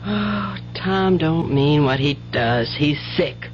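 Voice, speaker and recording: A woman's voice: low voice, animated, takes it slowly. An actress playing an anxious, doting rural mother in a 1970s radio drama, with folksy, tender speech. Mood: flustered, defensive. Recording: muffled old radio broadcast, music bed.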